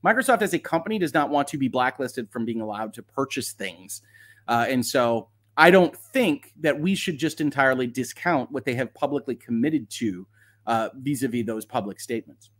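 A man's voice: mid-range voice, lively intonation. A man hosting live legal commentary, reading points aloud and reacting off the cuff in an analytical, lawyerly way. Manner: analytical, measured hedging, lawyerly vocabulary.

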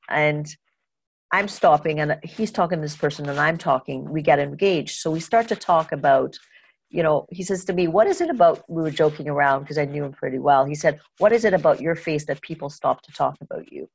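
A woman's voice: deep voice, brisk, gravelly, slightly rough. A woman from Trinidad and Tobago hosting a conversational interview podcast, thinking aloud in a wordy, reflective way.

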